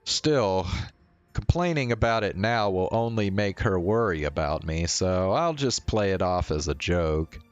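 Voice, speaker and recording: Low-voiced man, slow-paced read-aloud. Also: animated, smooth, no room sound, highs cut off, faint music behind. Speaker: streamer reading a visual novel aloud. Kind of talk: livestream read-aloud of a visual novel, with casual asides.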